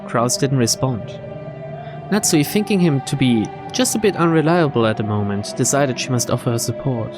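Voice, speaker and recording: Low-pitched man narrating over music. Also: animated, moderate pace. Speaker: game playthrough narrator and commentator. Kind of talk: reading visual novel narration with asides. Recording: game music behind the voice.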